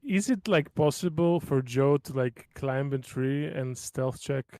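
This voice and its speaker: Man at a game table, medium pitch, no room sound, informal and hesitant, stammers through questions. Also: a little slow, darkish tone, no room sound, studio quality. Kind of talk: casual game-table chat.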